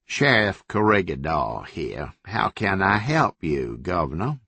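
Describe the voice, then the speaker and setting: A man's voice: low-pitched, big pitch swings, slow pace, creaky, sharp tone, breathy edge, no room sound, full character voice. A man doing a smug Southern sheriff with a lazy drawl. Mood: smug patronizing. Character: smug patronizing small-county male sheriff.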